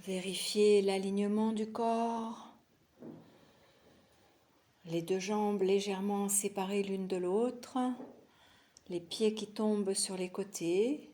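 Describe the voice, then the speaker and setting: Slow, mellow woman guiding relaxation. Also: mid-range voice, steady tone. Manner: soothing, unhurried, phrases broken by long pauses. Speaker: yoga nidra teacher guiding a session. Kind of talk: guided relaxation meditation.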